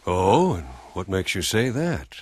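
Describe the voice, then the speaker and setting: A man voicing a thoughtful, philosophical man in a video game, measured and pondering in delivery. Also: sits low, big pitch swings, a little mellow, slightly rough, full character voice. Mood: pensive.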